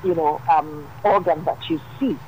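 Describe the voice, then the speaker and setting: A doctor from Nigeria, heard as a call-in guest, explaining things in a measured way with fillers. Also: medium pitch, slight background noise, poor audio. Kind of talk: phone-in interview.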